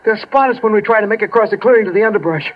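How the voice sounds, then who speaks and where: An actor playing a panicky, defeatist soldier pinned down in an old radio war drama. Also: male voice, high voice, racing, highs cut off, lightly acted. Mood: panicked. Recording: band-limited old radio recording.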